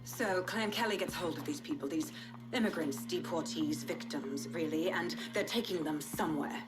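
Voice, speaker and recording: A woman acting a resolute police inspector recording a log in a video game, reporting in a clipped, deliberate way. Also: sits low, music in the background, lightly acted, rough recording. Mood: grim, suspicious.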